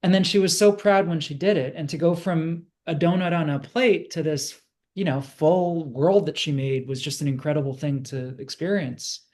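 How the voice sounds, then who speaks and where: Higher-pitched man chatting casually. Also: moderate pace, darkish tone. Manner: informal, conversational. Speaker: film producer being interviewed. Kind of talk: casual interview conversation.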